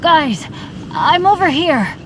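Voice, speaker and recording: A woman's voice: high voice, very animated, slow pace, heavy background noise, heavily acted. A woman voicing a good-natured, apologetic teenage boy adventurer in a video game, earnest and a little hesitant. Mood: sheepish, reassuring. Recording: loud music behind the voice. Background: loud game music.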